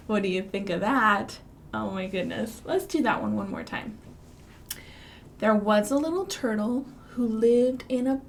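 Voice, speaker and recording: A woman with a Midwestern accent leads a children's storytime, her voice a touch high and her pace slow and deliberate. She chants rhymes in a sing-song lilt, with big excited swoops on the key words. A faint hiss sits under the recording. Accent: Midwestern American. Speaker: children's librarian. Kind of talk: virtual storytime for young children.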